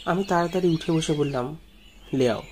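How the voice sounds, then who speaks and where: A man's voice: fairly high pitch, moderate pace, darkish tone, slight background noise. A man narrating a ghost story dramatically in the first person, as a storyteller. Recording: music behind the voice.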